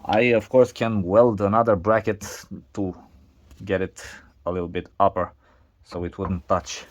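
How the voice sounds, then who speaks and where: A man whose first language is not English, narrating a casual workshop vlog in a halting way with many restarts and fillers. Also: low voice, fairly even intonation, slight background noise. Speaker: DIY video maker.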